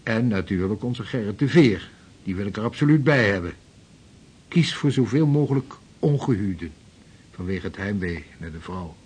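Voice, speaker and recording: An actor in a radio play playing an eager, seasoned Dutch sea captain who talks heartily and decisively. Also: male voice, fairly low pitch, expressive, normal speed, darkish tone, slightly rough.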